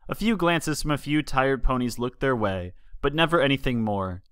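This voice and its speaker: A man's voice: mid-range voice, expressive, moderate pace, a bit bright, smooth, no echo, studio quality. A man reading a story aloud as scripted narration with dramatic delivery. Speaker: fan fiction reader.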